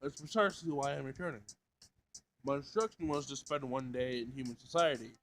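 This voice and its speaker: A man voicing a blunt, literal-minded robot in a schoolgirl's body, formal and deadpan, in a visual novel. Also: mid-range voice, brisk, a little hiss or hum, close to an everyday voice. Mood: matter-of-fact.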